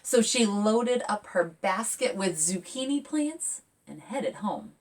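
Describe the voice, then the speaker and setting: Expressive woman reading to children, lively pitch. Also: takes it slowly, distant mic. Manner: expressive, addressing young listeners with questions. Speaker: children's book author reading aloud. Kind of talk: storytime talk and picture book read-aloud for children.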